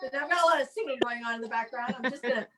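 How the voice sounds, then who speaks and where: A woman's voice: high voice, rapid-fire, over a noisy crowd. A woman hosting a casual online comedy chat from home, rambling along and acting out what people said. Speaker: host of an online comedy show. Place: home, video call.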